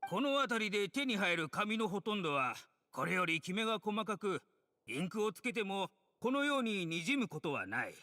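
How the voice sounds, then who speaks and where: High, fast, bright expert investigator. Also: male voice, very animated, big-room sound, heavily acted. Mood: explaining. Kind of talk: Japanese game voice acting.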